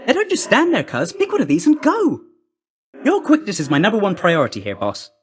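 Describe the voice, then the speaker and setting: An actor playing a brisk, cheeky young messenger god in a video game, with a breezy, slangy, chummy, clipped delivery. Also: male voice, high voice, huge pitch swings. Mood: breezy, hurried.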